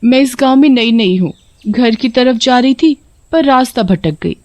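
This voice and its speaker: A woman's voice: fairly high pitch, normal speed, a little mellow, no echo, pitched above the performer's own voice, close to an everyday voice. A woman voicing a shape-shifting female witch who lures men with sweet talk and cackles, in a cartoon horror story.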